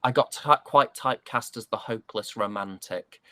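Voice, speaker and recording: Low-voiced British man, halting delivery. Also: pushed and bright, no echo. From United Kingdom. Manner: hesitant, halting storytelling. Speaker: interview guest, stage and voice actor. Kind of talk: casual interview chat.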